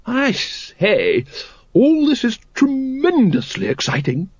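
Bloke doing a posh jolly lady, way up high and swoopy, bit gushing, storybook. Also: huge pitch swings, takes it slowly, husky, no echo, highs cut off, heavily acted. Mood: excited. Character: posh, hearty, cheerful upper-class lady.

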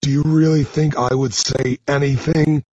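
A man's voice: on the high side, steady tone, normal speed, warm tone, slightly rough, dry recording, dull audio, pitched above the performer's own voice. A man voicing a beaten, cornered teenage bully in an audiobook, strained and defensive. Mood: grudging surrender. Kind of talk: audiobook character dialogue.

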